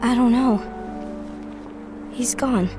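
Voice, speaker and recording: Casting: principled, protective young heroine, high voice, careful delivery, game drama over music. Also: female voice, slow pace. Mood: worried. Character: earnest, dutiful young woman, protective and principled. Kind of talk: dramatic video game cutscene acting. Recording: music behind the voice.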